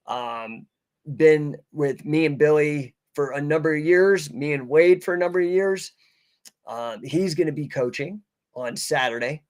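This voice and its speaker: Punchy, higher-pitched male sales coach. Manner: emphatic, punchy short phrases with slangy buddy address and check-in questions. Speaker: coach and host of an online coaching session. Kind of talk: informal live sales coaching talk to an online audience.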